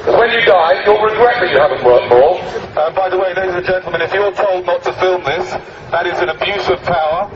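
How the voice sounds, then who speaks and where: High-voiced man on a megaphone. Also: brisk, roomy sound, a loud crowd. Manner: mock-earnest, declarative. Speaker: street activist and speaker. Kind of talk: satirical public speech through a megaphone. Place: outdoor public business district. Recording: muffled, distant, noisy outdoor street recording.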